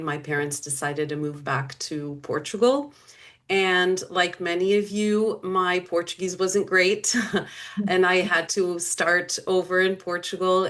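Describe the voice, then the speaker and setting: A woman from the United States talking as a guest about her life, relaxed and chatty, with many fillers. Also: lowish voice. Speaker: guest language teacher. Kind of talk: casual conversation.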